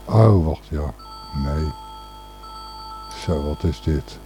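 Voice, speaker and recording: A man's voice: very deep voice, extremely slow, music in the background. A man hosting a podcast in rambling, casual solo talk, hesitant and a little distracted. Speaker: podcast host.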